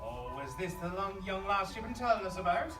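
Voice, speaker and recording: A woman's voice: medium pitch, big pitch swings, a little fast, natural voice. A woman playing a hospitable, folksy stowaway in a live audio drama. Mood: welcoming. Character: welcoming, warm-hearted stowaway host. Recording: echoey live stage, distant, loud music.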